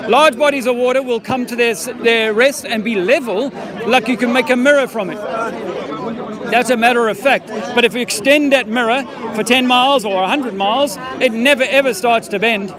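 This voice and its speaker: A man in his fifties, an army veteran filming himself outdoors, talking in a profane, rambling, insistent way. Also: extremely high pitch. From South Africa. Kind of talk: street-corner conspiracy monologue. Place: outdoor public speaking park. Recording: outdoor crowd noise behind.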